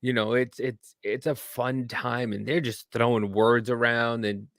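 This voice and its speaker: A man's voice: mid-range voice, level tone, unhurried, vocal fry, fairly bright tone, dry recording, clean studio sound. Laid-back man cracking jokes. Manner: laid-back, laughs often, jokey asides. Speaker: podcast host. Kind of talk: casual chat.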